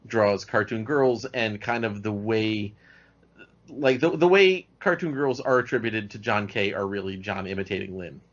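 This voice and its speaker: A man's voice: fairly low pitch, steady tone, moderate pace, highs cut off. A man speaking as a podcast guest in casual chat, an informed and enthusiastic animation buff. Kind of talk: casual, knowledgeable cartoon chat.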